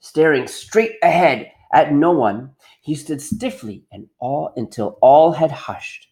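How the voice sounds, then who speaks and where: Male teacher reading aloud, very animated pitch. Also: slow pace. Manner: expressive, questioning, explanatory. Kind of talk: storybook read-aloud with questions to pupils.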